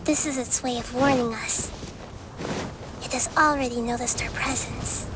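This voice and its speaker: An actress playing a small young girl deity in a video game, earnest, gentle and curious, with a light childlike voice. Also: on the high side, fairly even intonation, noisy background, close to an everyday voice. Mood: gentle, determined. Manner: measured, earnest, polite. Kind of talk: scripted video game dialogue.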